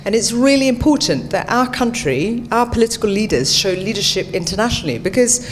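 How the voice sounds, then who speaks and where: A woman from Bangladesh speaking as a parliamentary candidate at a hustings, earnest and measured. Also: fairly high pitch, expressive. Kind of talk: debate answer at a hustings. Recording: echoey hall with faint hum.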